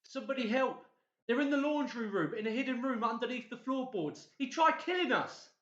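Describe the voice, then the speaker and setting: A man's voice: very high voice, expressive, a little fast, a bit bright, voice pushed up. A man playing a desperate young man crying for help in a dramatised audiobook. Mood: desperate panic. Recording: muffled, echoey home recording.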